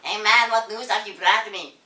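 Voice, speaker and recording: A woman's voice: medium pitch, steady tone, moderate pace, sharp tone, natural voice. A woman voicing a blustering, jovial man who thinks he is a president, with a mock Jamaican-Mexican accent, in a comedy read-through. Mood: jovial, commanding. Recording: roomy echo, distant mic.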